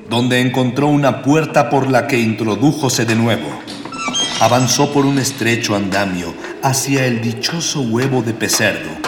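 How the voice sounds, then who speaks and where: A man's voice: mid-range voice, noisy background. Echoey male radio serial narrator, florid and mock-epic. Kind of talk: scripted dramatic radio narration. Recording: echoey long reverb with loud music.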